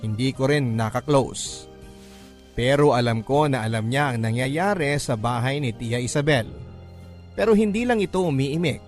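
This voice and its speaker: A man's voice: medium pitch, normal speed. A man giving dramatic scripted first-person narration in the style of classic radio storytelling. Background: music bed.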